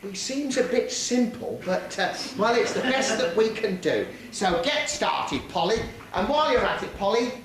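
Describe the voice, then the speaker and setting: A woman's voice: medium pitch, very animated, normal speed, hardly any pauses, lightly acted. A woman playing a bossy, blustering pantomime dame and palace housekeeper in broad, exclamatory stage comedy. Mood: brisk, bossy. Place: theatre stage. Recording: echoey hall, amateur stage recording, faint noise.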